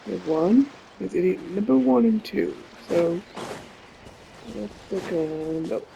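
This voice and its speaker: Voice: medium pitch, animated, unhurried, vocal fry, a little hiss or hum, low-quality recording. A game player casually thinking aloud in short muttered bursts during play. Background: loud game music.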